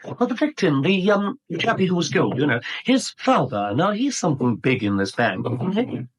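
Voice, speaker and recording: An actor playing a middle-aged English property speculator in a 1970s radio drama, mock-polite and slyly insinuating. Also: male voice, fairly high pitch, big pitch swings, moderate pace, poor audio. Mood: menacingly playful. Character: sly, insinuating small-time property speculator and blackmailer.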